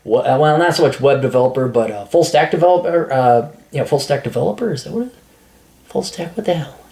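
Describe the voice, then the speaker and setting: A man's voice: medium pitch, lively intonation, fast, darkish tone. Blunt, profane man advising. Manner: blunt, profane, rambling with restarts. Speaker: podcast host giving career advice. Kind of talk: casual consulting monologue.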